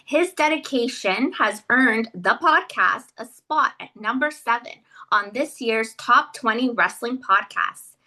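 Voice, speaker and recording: A woman's voice: on the high side, lively intonation, a little slow, pushed and bright, low-quality recording. A woman hosting a podcast interview, reading an upbeat intro and then asking questions. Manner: upbeat, reads a scripted intro, then affirming listener. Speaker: podcast host.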